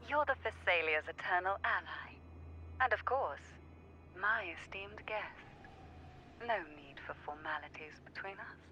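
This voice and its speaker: An actress playing a composed, courteous noblewoman in a video game, speaking in measured, formal and elegant phrases. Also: medium pitch, animated, sharp tone, dull audio, a little hiss or hum. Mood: gracious. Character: composed noblewoman host, courteous, knowing and unhurried. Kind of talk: scripted video game story dialogue.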